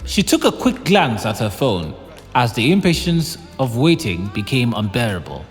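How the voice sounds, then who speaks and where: An actor narrating an audio drama as a dramatic storyteller. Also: male voice, mid-range voice, very animated, moderate pace, close to an everyday voice. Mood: suspenseful. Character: omniscient dramatic male storyteller. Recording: long reverb, faint music bed.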